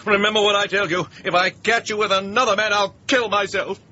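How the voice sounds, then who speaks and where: An actor playing an insanely jealous husband, blustering and threatening, in a radio comedy-drama. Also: male voice, high-pitched, fairly even intonation, fairly quick, sharp tone, highs cut off. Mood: furious. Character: insanely jealous, hot-headed, melodramatic husband.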